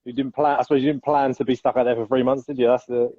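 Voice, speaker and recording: A man's voice: mid-range voice, flat delivery, quick pace, warm tone, no echo, dull audio. A man hosting a casual chat over a video call, friendly and fond of tag questions. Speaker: host.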